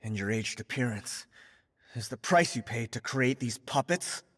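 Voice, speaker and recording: An actor playing a grieving young man in a game cutscene, his voice swinging between hushed and raised. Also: mid-range voice, animated, unhurried, long reverb tail. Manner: emotional, swinging from hushed to shouting.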